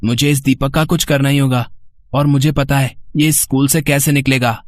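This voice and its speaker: A man's voice: medium pitch, fairly even intonation, normal speed, lightly acted. A man playing an earnest, emotional schoolboy in a cartoon moral story, speaking in a pleading manner. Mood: scheming. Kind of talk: animated moral story dialogue.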